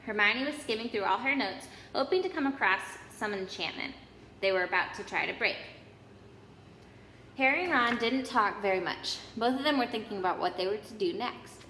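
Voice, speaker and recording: Bright-voiced woman storyteller in an echoey room. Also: medium pitch, expressive, moderate pace. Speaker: children's storyteller. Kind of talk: expressive storybook read-aloud. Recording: echoey hall, background noise.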